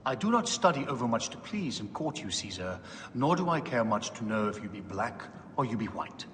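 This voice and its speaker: A man's voice: mid-range voice, big pitch swings, a little fast, a bit bright. A man playing an urbane, arrogant middle-aged Roman ruler in a video game cutscene. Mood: wry, smug. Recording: long hall reverb, faint background noise.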